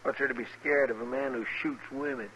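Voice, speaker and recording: A man's voice: mid-range voice, fairly even intonation, a little fast, fairly bright tone, natural voice. Man playing a folksy western deputy, noisy recording. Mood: earnest, curious. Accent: American rural western. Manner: folksy, plain-spoken, questioning. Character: folksy, earnest, deferential male deputy sidekick. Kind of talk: 1950s western radio drama acting. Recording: noisy muffled vintage radio recording.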